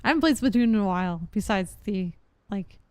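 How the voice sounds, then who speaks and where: A woman streams a game with casual commentary. Her voice is clean and smooth at an average pitch, recorded very dry, as she muses aloud informally. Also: expressive, brisk, no room sound. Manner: musing aloud, giggly.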